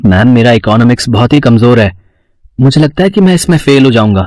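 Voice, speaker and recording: A man's voice: medium pitch, brisk, no echo, clean studio sound, close to an everyday voice. Man playing a hesitant, lovestruck male student. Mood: pleading. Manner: hesitant, flattering. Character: lovestruck twenty-one-year-old male college student, sly and pleading. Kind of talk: acted story dialogue.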